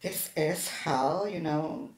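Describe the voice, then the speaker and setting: A woman vlogger chatting casually to the camera in a halting, hesitant way as she gets ready. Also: sits low, a little mellow. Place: plain-walled room indoors. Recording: echoey room.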